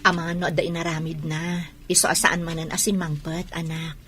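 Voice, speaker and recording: A woman's voice: low-pitched, level tone, a little fast, a bit bright, faint music behind. A woman playing a hurt, suspicious wife and patient mother in an Ilocano audio drama. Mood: gently sad.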